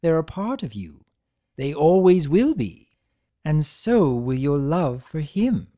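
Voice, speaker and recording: A man's voice: on the high side, sing-song, warm tone. A man voicing a theatrical, swooping, needling chaos spirit. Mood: earnest, pressing. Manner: taunting, emphatic, drawn-out theatrical delivery. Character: theatrical male chaos spirit, a draconequus, needling yet sympathetic. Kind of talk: dramatic storybook reading.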